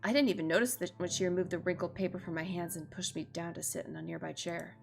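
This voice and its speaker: Expressive woman streamer, creaky voice, reading aloud. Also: lowish voice, fast talker, faint music behind. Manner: expressive, casual, sweary. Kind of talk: visual novel read-aloud with casual reactions.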